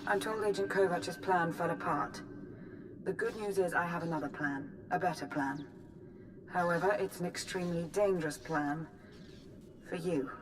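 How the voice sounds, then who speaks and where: A woman playing a cool, calculating intelligence chief in a video game cutscene, measured, clipped and dry. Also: fairly low pitch, background noise, natural voice. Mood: grave briefing.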